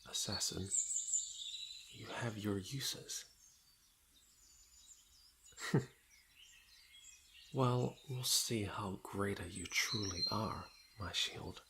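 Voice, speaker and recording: A man plays a commanding fantasy lord with a fairly low voice and a very slow, halting delivery. He is a ruler who trusts his bodyguard, intimate and measured, in an audio roleplay with birdsong and forest sounds behind him. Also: gravelly. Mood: cool, commanding. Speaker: voice actor. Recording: noisy outdoor nature sound effects. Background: birdsong and forest ambience.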